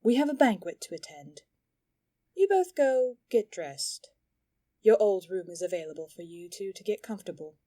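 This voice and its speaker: A woman playing a middle-aged elf noblewoman and mother in a fantasy audio drama, measured, composed and dryly teasing. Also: medium pitch, lively intonation, dark tone, natural voice. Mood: gracious, teasing.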